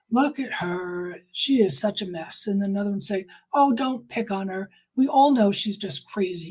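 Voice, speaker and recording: A woman's voice: mid-range voice, darkish tone, slightly rough, rough recording. A woman giving personal testimony in an interview, speaking emphatically and repeating phrases to drive points home.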